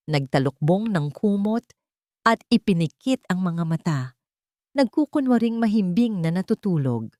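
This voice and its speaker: Dramatic woman storyteller with lively intonation. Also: mid-range voice, no room sound, studio quality. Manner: suspenseful, emotive storytelling. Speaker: story narrator. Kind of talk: dramatic scripted story narration.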